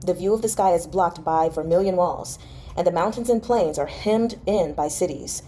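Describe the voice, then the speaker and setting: A woman's voice: on the low side, normal speed, warm tone, slight background noise, natural voice. A woman reading the part of a devoted, restless young nobleman in a storybook manner. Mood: tender, wistful. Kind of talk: expressive audiobook-style novel reading.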